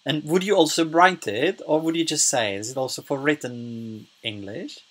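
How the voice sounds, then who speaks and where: Man, Czech accent, non-native English, asks questions haltingly, friendly interviewer, mid pitch. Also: fairly bright tone. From Czech Republic. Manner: hesitant phrasing, frequent "like" and "you know". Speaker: host, interviewer.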